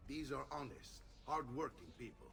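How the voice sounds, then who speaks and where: A man's voice: mid-range voice, expressive, moderate pace, creaky, fairly bright tone, a little hiss or hum. An actor voicing a hearty, commanding middle-aged Italian swordsman uncle in a video game, with an Italian accent. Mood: warm, wry. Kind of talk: video game cutscene acting.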